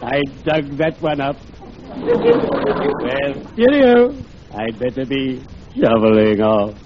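Solemn, morbidly droll undertaker. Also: male voice, medium pitch, huge pitch swings, slow pace. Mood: morbidly jolly. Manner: formal, solemn, punning. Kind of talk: old-time radio situation comedy acting. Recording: dull, noisy vintage radio recording.

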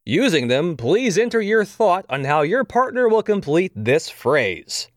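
Man playing a lively, slick game show host. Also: high-pitched, unhurried, no echo. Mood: slick, teasing. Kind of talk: game show hosting patter. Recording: noisy studio crowd behind.

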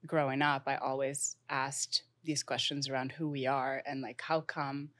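A young woman from Morocco, speaking thoughtfully as an interview guest with frequent pauses and fillers. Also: a bass voice, little pitch movement, fairly bright tone, no room sound. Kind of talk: conversational interview.

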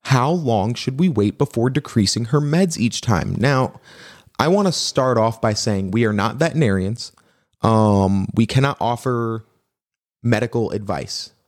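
A man of middle pitch co-hosts a dog-training podcast and reads out listener questions. He talks briskly and readily agrees with his partner. His voice sounds dry and close. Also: no echo. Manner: brisk, agreeable, quick to affirm. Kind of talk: casual podcast chat.

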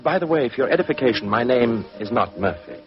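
An actor playing a smug criminal mastermind with mock-courteous manners in a 1940s radio drama. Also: male voice, mid-range voice, lively intonation, fast, music in the background, natural voice. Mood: smug, taunting. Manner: mock-courteous, drawling, taunting. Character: sly, smug male criminal mastermind, politely menacing. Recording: muffled 1940s radio broadcast.

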